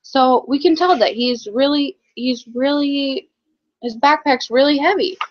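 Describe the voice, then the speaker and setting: A woman teaches young children through a read-aloud, explaining in a halting way. Her voice is a bit high and very unhurried. The recording sounds dull and lo-fi.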